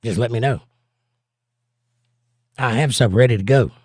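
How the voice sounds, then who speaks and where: Hoarse man giving folksy advice. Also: medium pitch, measured pace, darkish tone, dry recording, clean studio sound. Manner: folksy, plain-spoken advice. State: hoarse, voice partly lost. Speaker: livestream host and pastor. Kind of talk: casual livestream chat, reading viewer comments.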